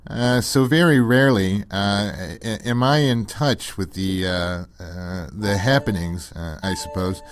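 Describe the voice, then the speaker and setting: A man playing a mild, pompously wordy pseudo-intellectual radio co-host in a comedy sketch, deadpan and very hesitant, stammering through his musings. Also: lowish voice, unhurried, hardly any pauses, no room sound. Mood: ponderous, musing.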